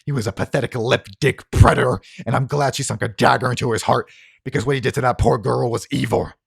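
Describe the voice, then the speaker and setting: Tabletop RPG admiral, played by a man: dying, defiant, commanding, rough-voiced, profane and full of contempt. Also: mid-range voice, fairly even intonation, fast talker, voice pushed up. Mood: furious contempt. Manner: forceful, profane, spitting contempt.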